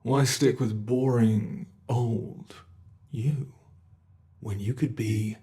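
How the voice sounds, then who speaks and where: A British man voicing a sadistic immortal male monster under the bed, speaking slowly in a taunting, gleeful way in an ASMR horror roleplay. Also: mid-range voice, fairly even intonation, dark tone. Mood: menacing taunting. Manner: slow, taunting, gleeful cruelty with bursts of laughter.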